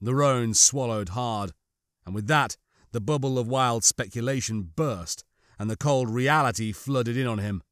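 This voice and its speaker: A man's voice: mid-range voice, a little slow, fairly bright tone, no room sound. Male audiobook narrator, middle register, suspenseful and dramatic, recorded dry.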